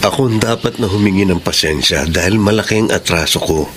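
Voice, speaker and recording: Guy playing a gentle apologetic filipino friend in a radio drama, lowish voice, clear background noise. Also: brisk, close to an everyday voice. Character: apologetic friend and caring new partner. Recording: noisy background ambience.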